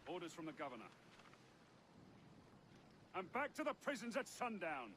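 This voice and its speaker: A callous prison guard passing on cruel orders flatly. His voice sits slightly high, with clear ambient noise behind. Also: moderate pace, full character voice. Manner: callous, matter-of-fact. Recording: noisy game ambience.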